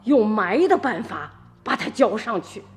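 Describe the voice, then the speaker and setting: A woman's voice: medium pitch, animated, a little slow, faint music behind, close to an everyday voice. An actress, scolding her son sharply. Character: stern village mother, sharp-tongued and scolding. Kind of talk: radio drama acting.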